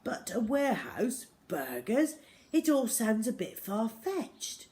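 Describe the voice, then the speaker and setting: A tired, defeated father from a children's storybook , voiced by a woman reading aloud. He sounds bewildered and sceptical, his words doubtful and his pitch a little raised. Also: very animated, slow pace, warm tone.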